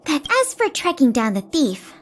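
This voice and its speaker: A woman's voice: high voice, sing-song, normal speed. A woman voicing an earnest young museum official who talks eagerly and politely. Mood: bright. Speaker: museum official in a game story. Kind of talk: scripted game dialogue. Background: game music.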